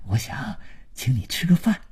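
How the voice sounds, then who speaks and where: A man's voice: mid-range voice, sing-song, normal speed, darkish tone, husky. A man voicing a middle-aged man who fawns and stammers on a phone call and later whispers slyly. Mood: ingratiating. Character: middle-aged man, ingratiating then sly.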